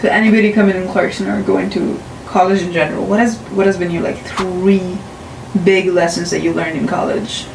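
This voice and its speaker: A young woman from Nepal, a native Nepali speaker, chats as a guest and laughs readily. She sounds set back from the mic. The room has a clear echo and a faint hiss. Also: lowish voice, fairly even intonation, far from the mic. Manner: chatty, quick to laugh. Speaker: podcast guest. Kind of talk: casual chat.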